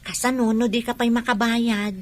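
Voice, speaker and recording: An actress with an Ilocano Filipino accent playing a concerned, questioning young student friend in a radio drama. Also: female voice, a little high, little pitch movement, moderate pace, natural voice.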